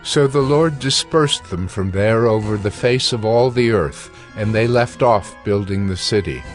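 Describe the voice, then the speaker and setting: A man reading scripture as a narrator, with formal, measured storytelling. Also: on the low side. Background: faint music.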